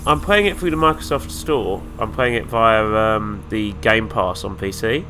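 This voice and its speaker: A man's voice: fairly low pitch, measured pace, pushed and bright, slight background noise. A man with a nasal, flu-stuffed voice, giving casual let's-play commentary with dry, sarcastic asides. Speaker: gaming YouTuber.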